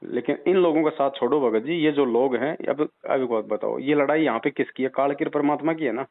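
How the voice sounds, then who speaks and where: A man's voice: mid-range voice, fairly quick. A man on a phone call, giving religious advice in an insistent, admonishing way and pressing his points with rhetorical questions. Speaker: spiritual advisor taking a caller's doubts. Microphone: phone line. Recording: tinny, band-limited phone call recording.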